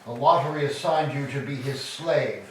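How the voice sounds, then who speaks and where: A man's voice: mid-range voice, moderate pace, lightly acted. A man playing a stern, commanding army herald in a staged Greek tragedy, declaiming his orders. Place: church, live staged reading. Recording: echoey hall, distant mic, background noise.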